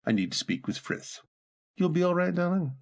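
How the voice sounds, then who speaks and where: A man's voice: high-pitched, big pitch swings, moderate pace. A man playing a genial, commanding upper-class master of an estate, courteous and urbane, in an old-time radio drama. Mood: brisk, courteous.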